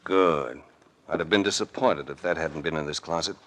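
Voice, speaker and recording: An actor playing a shrewd, calm, world-weary investigator with an American accent and a cool, deliberate delivery in an old radio drama. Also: male voice, very deep voice, background noise. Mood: cool deduction. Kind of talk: 1940s-50s radio drama acting. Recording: vintage radio recording.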